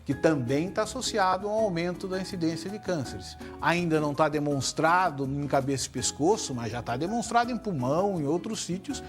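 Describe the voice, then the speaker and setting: A middle-aged Brazilian man, a surgeon guest, explaining in a measured way and often tagging his points with "né?". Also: a little high, lively intonation, over music. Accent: Brazilian Portuguese. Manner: measured, tag questions like "né?". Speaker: head and neck surgeon guest. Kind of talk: explanatory interview answers.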